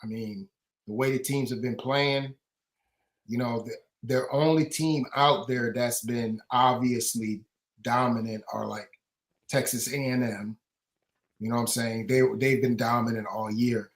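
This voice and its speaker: A man's voice: mid-range voice, steady tone, a little slow. A man co-hosting a casual sports podcast, opinionated and building to emphatic points. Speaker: podcast co-host.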